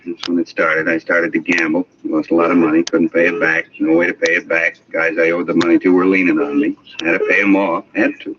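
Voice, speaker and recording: Low, fast-talking cornered American gambler actor. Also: male voice, little pitch movement, lightly acted. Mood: desperate, bitter confession. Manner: terse, evasive, agitated. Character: cornered, defensive gambler man turned bitter confessor. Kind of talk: 1950s American radio crime drama acting. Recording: dull, hissy old radio recording.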